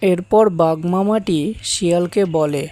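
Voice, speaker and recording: Slow, low-voiced woman storyteller. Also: warm tone. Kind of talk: scripted children's fable narration.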